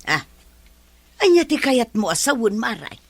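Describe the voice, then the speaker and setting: A woman's voice: a little high, sing-song, a little slow, breathy edge, heavily acted. A woman with an Ilocano accent playing a chatty, nosy village woman in a comedy drama. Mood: gossipy.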